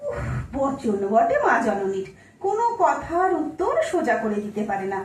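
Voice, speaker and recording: A woman acting a fussing, sharp-tongued mother of a married daughter in an audio drama, exasperated and nosy. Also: high-pitched, sing-song, moderate pace. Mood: nagging, inquisitive. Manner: exasperated, inquisitive, sardonic. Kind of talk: Bengali audio-play acting on stage. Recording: echoey room, distant mic.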